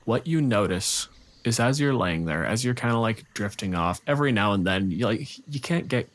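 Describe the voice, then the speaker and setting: A man narrating a tabletop game as game master, speaking in measured, halting phrases. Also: fairly low pitch, lively intonation.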